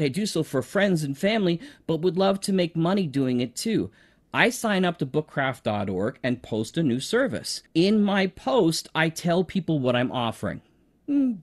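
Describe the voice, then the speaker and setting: Male presenter, slightly high voice, very animated intonation, enthusiastic, emphatic, conversational promo. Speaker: presenter promoting an online marketplace. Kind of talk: promotional pitch, conversational explainer.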